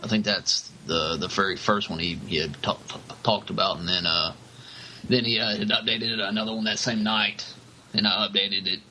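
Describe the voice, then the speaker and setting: A man co-hosting a podcast in casual chat, speaking haltingly and often repeating himself. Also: lowish voice, fairly even intonation, bright, forceful tone, a little hiss or hum, rough recording. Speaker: podcast co-host.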